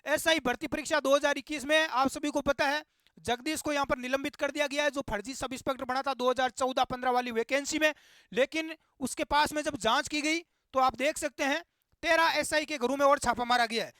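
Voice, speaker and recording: A man's voice: very high-pitched, steady tone, a little fast, bright, forceful tone, no room sound. A man giving impassioned, indignant commentary and urging his viewers on in an exhortative, repetitive way. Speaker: YouTube host.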